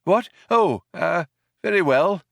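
A man's voice: a little high, lively intonation, slow, fairly bright tone, no echo, clean studio sound, pitched above the performer's own voice. A man voicing a polite, fussy old man in a storybook, hesitant, plaintive and easily affronted. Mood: hesitant, courteous. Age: older. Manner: hesitant courtesy, plaintive indignation.

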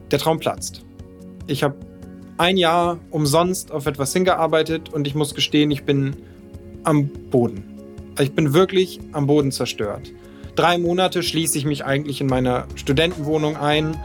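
Male narrator, thirties, from Lower Saxony, slightly high voice; candid, self-mocking storyteller over faint music. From Lower Saxony, Germany. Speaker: podcast narrator. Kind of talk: personal storytelling.

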